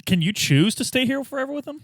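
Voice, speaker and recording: A man's voice: very high voice, big pitch swings, quick pace, no echo. A man chatting casually as a player in a role-play podcast, reacting as the game goes.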